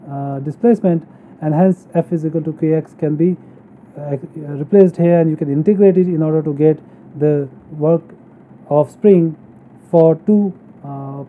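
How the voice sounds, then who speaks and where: A man giving a halting, step-by-step technical lecture as an engineering teacher, explaining material from slides. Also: fairly high pitch, slight background noise. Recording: narrow phone-like sound.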